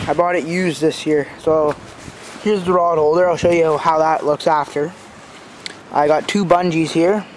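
A man giving a casual, unscripted walkthrough as an amateur reviewer, talking in a halting, informal way outdoors on a handheld phone. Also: fairly high pitch. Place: outdoors in a backyard. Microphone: handheld phone camera. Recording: outdoor phone recording with clear background noise. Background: passing cars.

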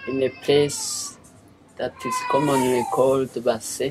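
An older woman with a Rwandan accent giving personal testimony in an interview, speaking in a halting, deliberate and earnest way. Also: a bass voice, level tone, heavy background noise. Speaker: interviewee, former liberation movement member.

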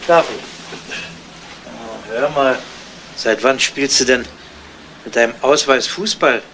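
Male senior investigator, radio crime drama, ordinary mid register, measured and doubting, noisy vintage sound. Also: medium pitch, a bit bright. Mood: dry, sceptical. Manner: dry, probing, measured questioning. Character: dry, sceptical male police detective in charge. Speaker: actor. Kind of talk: 1970s German radio crime drama acting. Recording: noisy old 1970s radio play recording.

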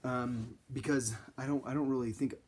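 A man's voice: medium pitch, fairly even intonation, moderate pace, a little mellow, husky. A man, talking straight to camera in a small room, rambling with many ums and false starts. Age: 30s. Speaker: vlogger. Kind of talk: casual direct-to-camera talk. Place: small indoor room against a plain wall.

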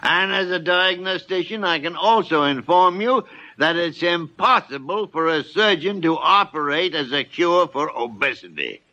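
Crusty, forceful old doctor. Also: male voice, fairly high pitch, lively intonation, moderate pace. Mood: exasperated. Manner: gruff, wry, grumbling. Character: crusty, sarcastic older male doctor mentor. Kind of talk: 1950 radio drama acting. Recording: dull lo-fi 1950 radio broadcast.

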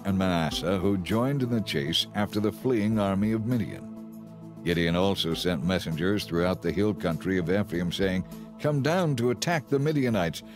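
低めの声の男性聖書朗読者. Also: male voice, fairly low pitch. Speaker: audiobook narrator. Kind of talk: dramatic scripted Bible narration.

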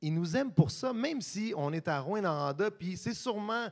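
A man's voice: sits high, animated, moderate pace, a little mellow. A man with a Québécois French accent speaking on a theatre panel through a handheld mic, telling stories in a reflective way and switching between French and English. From Quebec, Montreal area. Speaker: panelist, musician. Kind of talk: panel discussion. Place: stage in a theatre, panel in front of an audience.

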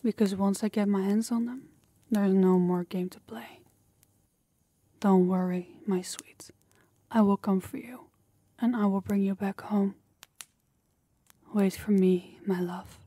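A woman's voice: medium pitch, steady tone, slow, pauses often, warm tone, slightly rough, dry recording. A woman playing a possessive, devoted and menacing wife in an audio roleplay, with controlled intensity. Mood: tender devotion.

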